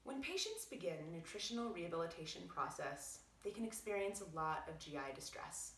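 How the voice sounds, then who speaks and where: A woman reading aloud as a doctor explaining things in a measured, articulate, explanatory way. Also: on the low side, very animated, a bit bright, a little hiss or hum. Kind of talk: read-aloud narration with brief asides. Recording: roomy echo, distant from mic.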